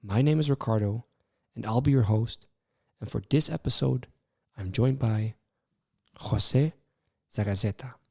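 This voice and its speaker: A man hosting a podcast, reading a measured prepared introduction and asking interview questions. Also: mid-range voice, vocal fry, dark tone, slightly rough. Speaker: podcast host.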